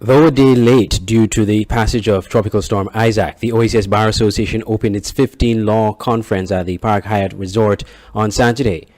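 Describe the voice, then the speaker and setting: A man, reporting sports and local news in a steady, measured read. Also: on the low side. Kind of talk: scripted news reading.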